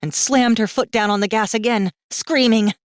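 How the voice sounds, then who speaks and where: A woman's voice: on the high side, normal speed, no room sound, studio quality. A woman narrating a fantasy audiobook in a dramatic, scripted style with tense, suspenseful storytelling.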